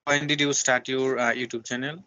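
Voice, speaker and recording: Bright-voiced young Bangladeshi man. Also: medium pitch, level tone, no room sound, highs cut off. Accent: Bangladeshi English. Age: young adult. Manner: halting, clears throat often. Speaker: guest, university student. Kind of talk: casual English practice chat.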